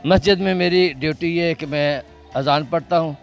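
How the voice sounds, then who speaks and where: A man's voice: a little high, fairly quick, gravelly, soft background music. A man with a Pakistani Punjabi accent, a mosque muezzin, speaking simply and formally on location. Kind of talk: interview answers and formal announcement.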